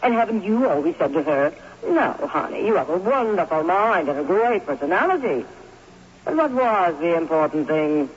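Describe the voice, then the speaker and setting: A woman acting in an old-time radio drama as a smooth, shrewd advertising boss, knowing and flattering. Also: fairly low pitch, normal speed. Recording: muffled, hissy old radio recording.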